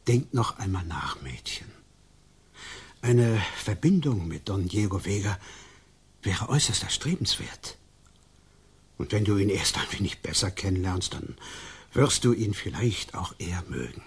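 A man's voice: sits low, sing-song, unhurried, vocal fry, breathy edge, full character voice. An actor playing an older colonial father in a radio drama, stern, reproachful and urging with his daughter. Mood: persuading. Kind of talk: German radio drama acting.

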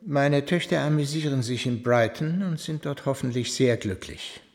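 An actor playing a formal, courteous, authoritative older uncle in a German radio play. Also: male voice, mid-range voice, moderate pace, darkish tone, lightly acted. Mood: decided, benevolent. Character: formal, dignified patriarch uncle, measured and decided.

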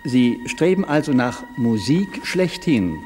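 A man's voice: medium pitch, sing-song, slow, darkish tone, soft background music. A man playing a persistent, formal interviewer in a staged radio interview, probing and puzzled, recorded in a reverberant hall.

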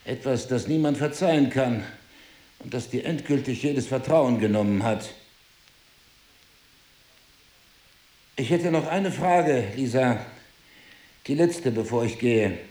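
An actor playing a remorseful, bewildered husband in an intimate drama, speaking in a grave, halting, restrained way. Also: male voice, medium pitch, animated, mellow, roomy sound, close to an everyday voice. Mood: grave, wounded. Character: remorseful, bewildered husband, resigned to leaving his wife. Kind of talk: intimate dialogue-driven audio drama.

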